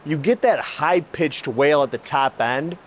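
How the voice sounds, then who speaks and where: A man's voice: fairly high pitch, unhurried, very noisy. A man hosting an automotive video, presenting and explaining in an emphatic, enthusiastic way. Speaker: host, exhaust shop builder. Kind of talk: presenting car exhaust comparison, part scripted, part explanatory.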